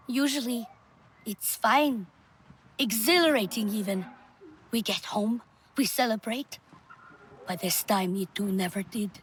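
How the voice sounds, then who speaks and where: Bold alien warrior woman, higher voice, grave and formal, video game drama, ambience behind. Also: a bit bright, slight background noise. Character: worried but bold Na'vi huntress, alien warrior.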